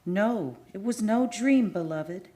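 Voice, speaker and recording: A woman's voice: mid-range voice, lively intonation, slow pace, mellow. A woman playing a loving spirit from the sea in a radio play, speaking solemnly in an archaic romantic way.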